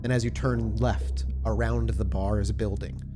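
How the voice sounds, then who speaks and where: A man's voice: lowish voice, fairly even intonation, moderate pace. A man narrating a tabletop roleplaying game as storyteller, setting scenes in cinematic, descriptive detail. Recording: background music under the voice.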